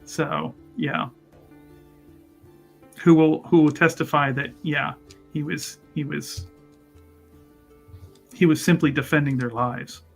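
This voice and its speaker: Male RPG player, lightly high voice, unhurried, halting and repetitive casual narration, music underneath. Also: steady tone, vocal fry. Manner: hesitant, repeats words, chuckles often. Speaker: tabletop roleplaying player. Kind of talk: casual improvised narration of own character's actions. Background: background music.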